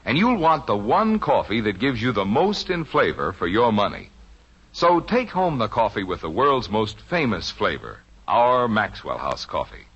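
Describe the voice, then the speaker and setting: A man's voice: fairly low pitch, very animated, normal speed, a bit bright, slightly rough. An American man reading a persuasive scripted coffee commercial in mid-century radio style. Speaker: commercial announcer. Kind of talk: scripted 1950s radio advertising pitch. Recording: muffled old radio recording.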